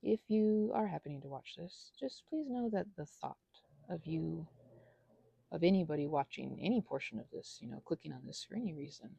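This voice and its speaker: Woman, 30s, low and creaky, earnest and halting, intimate ASMR ramble with a self-deprecating streak. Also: lively intonation, warm tone, no room sound. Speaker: ASMR channel creator. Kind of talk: rambling confessional ASMR monologue.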